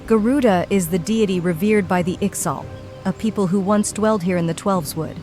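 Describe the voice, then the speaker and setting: An actress voicing a serene, dignified healer and leader in a video game, speaking archaic, formal English. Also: female voice, mid-range voice, a little fast, dark tone, natural voice. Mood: gracious, composed. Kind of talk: scripted video game voice acting. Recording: studio voice under game music.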